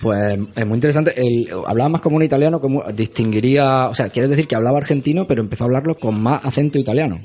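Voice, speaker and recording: Man from Spain hosting a relaxed chat. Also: medium pitch, fast talker, hardly any pauses. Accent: Spanish from Spain. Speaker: podcast host. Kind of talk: casual conversation.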